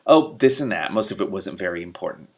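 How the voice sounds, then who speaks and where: A man's voice: deep voice, animated, fast talker. A man voicing a vain male storybook cat, breezy, digressive and self-satisfied. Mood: breezy, boastful. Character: smug, vain male talking cat telling tales.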